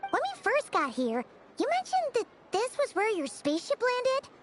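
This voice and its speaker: Very high-pitched, excitable little fairy. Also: female voice, moderate pace, a little hiss or hum, exaggerated voice. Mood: chatty, upbeat. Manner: perky, chatty, refers to self in third person. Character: tiny childlike chatterbox fairy companion, excitable and cute. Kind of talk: animated video game voice acting.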